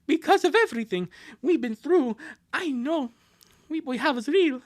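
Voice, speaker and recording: An anguished teenage lover from a fan comic, put on by a livestreamer, pitched well up. The delivery is pleading and melodramatic. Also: animated, moderate pace. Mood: wistful earnest.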